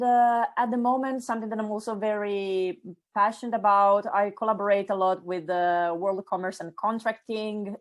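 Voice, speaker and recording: A middle-aged woman from Italy with an Italian accent, introducing her course to lawyers in a chatty, enthusiastic way with many fillers. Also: lowish voice. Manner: lots of fillers and restarts, enthusiastic. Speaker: course instructor. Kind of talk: casual spoken course introduction.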